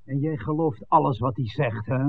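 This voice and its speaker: A man's voice: mid-range voice, normal speed, creaky. An actor playing a menacing, scornful middle-aged village father and smuggler boss in a 1960s Dutch radio drama. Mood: commanding. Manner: commanding, scornful. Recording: narrow-band 1969 radio recording.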